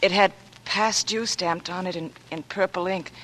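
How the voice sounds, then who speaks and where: A woman's voice: lowish voice, steady tone, moderate pace, fairly bright tone, low-quality recording. A young American woman acting a frightened but plucky heroine in a 1940s radio drama, speaking with breathless earnestness over an old broadcast.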